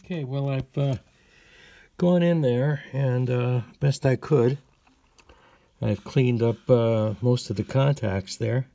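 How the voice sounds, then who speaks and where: A man casually narrating a hands-on repair as a hobbyist, hesitant and pausing often, recorded on a handheld phone. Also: mid-range voice, creaky, dark tone, no echo. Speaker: hobbyist radio restorer.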